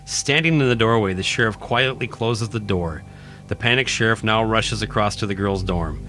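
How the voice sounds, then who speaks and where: A man reading scripted horror narration in a dramatic, deliberate storytelling manner. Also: fairly low pitch, fairly bright tone, soft background music. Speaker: narrator, podcast host.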